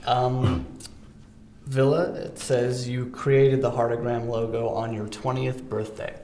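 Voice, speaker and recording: A man's voice: medium pitch, flat delivery, darkish tone, a little hiss or hum. Upbeat male interviewer in an echoey room. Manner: upbeat, reads prompts, quick short reactions. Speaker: interview host. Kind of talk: casual interview.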